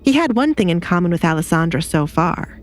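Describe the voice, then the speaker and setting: A woman's voice: lowish voice, sing-song, fairly quick, soft background music. A woman reading a scripted dramatic story as an audiobook narrator.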